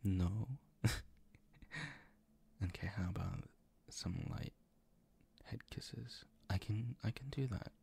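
A man playing a doting, gently dominant boyfriend in an intimate ASMR roleplay, speaking in a soft, coaxing, reassuring way with a breathy, gravelly whisper. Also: low-pitched, takes it slowly, pauses often, exaggerated voice. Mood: tender. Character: doting, gently dominant, teasing boyfriend comforting his partner.

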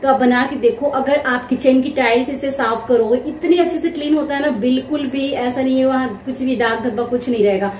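A young woman from India talks through her day in a relaxed home vlog, addressing her viewers directly. Her voice sits a little high. The kitchen around her makes it ring with a noticeable room echo, and a faint hum of ambience runs underneath. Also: fairly quick, few pauses. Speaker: vlogger. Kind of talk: casual home vlog, showing groceries and explaining.

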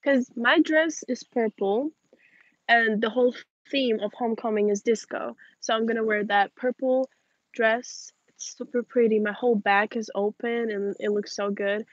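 A woman's voice: fairly high pitch, steady tone, moderate pace, no echo, dull audio. A young woman in her late teens from Moldova, a native Russian speaker, chatting informally outdoors as she reads and answers viewer questions. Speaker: livestream host. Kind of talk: casual chat, reading and answering viewer questions. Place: outdoors in a backyard garden. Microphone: phone or camera at arm's length.

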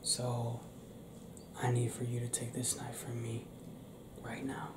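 A young man playing a tender, anxious werewolf boyfriend in an ASMR roleplay, breathy and hesitant, speaking intimately up close. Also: mid-range voice, completely flat delivery, slow pace, heavy background noise, natural voice. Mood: uneasy, protective. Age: young adult. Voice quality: breathy, whispery. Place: small indoor room with pale doors. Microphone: close camera mic, within arm's length. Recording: roomy close-up home recording.

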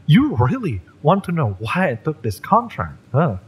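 A man playing a fiery, wounded mercenary in a romance audio roleplay, bitter but lovesick, speaking emotionally and haltingly with sighs and drops into whispers. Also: a little high, huge pitch swings, slight background noise. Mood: angry, confrontational.

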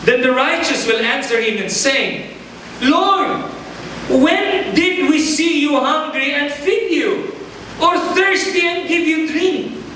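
A man's voice: extremely high pitch, lively intonation, takes it slowly, bright, forceful tone. A man in his thirties with a Filipino accent, preaching a sermon at a pulpit and switching between English and local languages, emphatic and calling on the congregation. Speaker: pastor. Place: large church hall, indoors. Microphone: handheld mic at a pulpit, filmed from far. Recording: echoey hall from far off with noise.

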